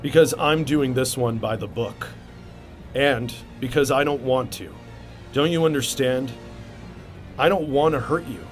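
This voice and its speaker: A man playing a grim, resolute masked vigilante hero in a comic-book drama, speaking in a stern, measured and earnest way. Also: medium pitch, faint music behind, natural voice. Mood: earnest appeal. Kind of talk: comic-book motion comic acting.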